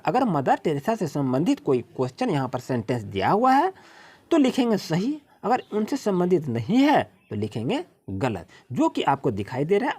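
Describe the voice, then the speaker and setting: An Indian-accented woman teaching young children, explaining textbook exercises in an instructive way and reading out English slowly, word by word. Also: lowish voice, darkish tone, husky. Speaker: primary school teacher.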